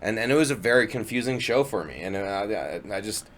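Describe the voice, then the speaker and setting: A man co-hosts a relaxed studio podcast, his voice a bit low. He stumbles and restarts often as he tells a story in good humor. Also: brisk, a little hiss or hum. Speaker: podcast co-host. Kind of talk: casual chat.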